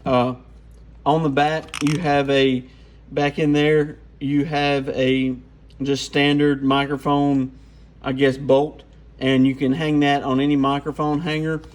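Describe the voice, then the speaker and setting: A man's voice: a little high, little pitch movement, slow. A man giving an informal, off-the-cuff hands-on product walkthrough. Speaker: radio gear reviewer.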